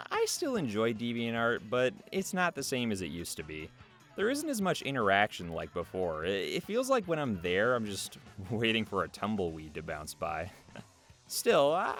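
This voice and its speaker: A man narrates as an interview host with highly animated intonation and an average-pitched voice. He reads in an upbeat, scripted way and chuckles often, with music playing underneath. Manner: chuckles often between lines. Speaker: host reading an artist's written interview answers. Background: background music.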